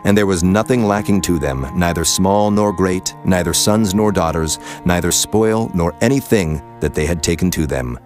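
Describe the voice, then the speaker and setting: A man narrating scripture from a script in a solemn, measured storytelling manner. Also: low voice, darkish tone, faint music behind.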